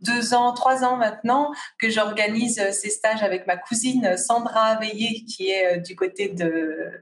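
A woman's voice: medium pitch, unhurried, far from the mic, a little hiss or hum. A woman talking through a hesitant flow of fillers and restarts. She is set back from the mic. Her voice rings in a roomy, echoing space. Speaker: guest, fasting retreat organizer. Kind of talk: casual conversation.

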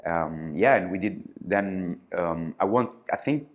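A man's voice: low-pitched, lively intonation, unhurried. A man from France, telling his founder story in a casual interview, hesitant, with many fillers and restarts. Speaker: podcast guest, startup founder.

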